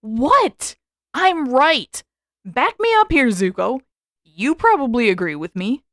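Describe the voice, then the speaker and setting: A woman's voice: high voice, very animated, slow pace, no room sound, studio quality, voice pushed up, full character voice. A woman voicing a brash, defensive, sarcastic teenage boy in a fan fiction audiobook, with a blunt, casual, emphatic delivery. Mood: indignant, defensive.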